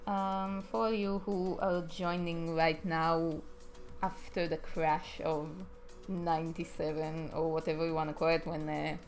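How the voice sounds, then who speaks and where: Young Israeli-accented woman, lowish voice, rambling live-stream chat, faint music behind. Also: level tone, measured pace. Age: young adult. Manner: chatty, rambling, frequent ums and uhs. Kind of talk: casual live stream chat answering viewers.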